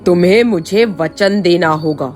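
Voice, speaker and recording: A stern village landlord from a cartoon tale , voiced by a woman, proud and commanding. The voice is pushed low and speaks slowly, with music behind it. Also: a little mellow, lightly acted. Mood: grudging, commanding. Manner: commanding, questioning.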